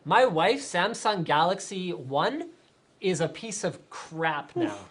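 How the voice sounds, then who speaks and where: A man's voice: high-pitched, slow, husky. Chatty man hosting a tech stream. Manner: energetic, chatty, many fillers. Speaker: tech show host. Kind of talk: casual live-stream chat, reading viewer questions.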